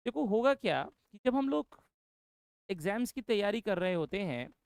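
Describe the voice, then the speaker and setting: A man's voice: sits high, sing-song, moderate pace, vocal fry, a little mellow, clean tone, no echo, studio quality. A man introducing an English course to exam students, repeating his points and often calling them brother. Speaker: English teacher.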